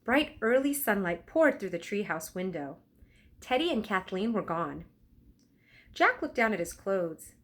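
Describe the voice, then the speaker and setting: A woman's voice: medium pitch, sing-song, unhurried. A woman narrating a children's book aloud expressively, enunciating for young listeners. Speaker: storybook reader.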